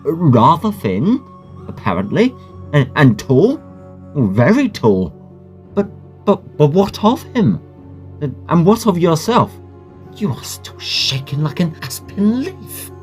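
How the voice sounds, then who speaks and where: An actor playing a sceptical, kindly, fussy Victorian gentleman doctor in a radio drama, proper and measured in manner. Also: a little high, very animated, soft background music. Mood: puzzled.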